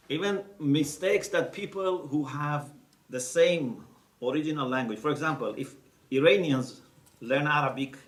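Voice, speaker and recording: A man giving a teaching lecture, explaining step by step and checking in with his listeners. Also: a little high. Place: lecture room. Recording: roomy echo, mic at a distance.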